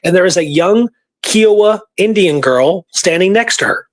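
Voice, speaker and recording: A man with a somewhat high voice shares history in a loose, conversational way. He explains things as a podcast presenter would, informally, leaning on repeated words for emphasis. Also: animated, moderate pace. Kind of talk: casual history storytelling.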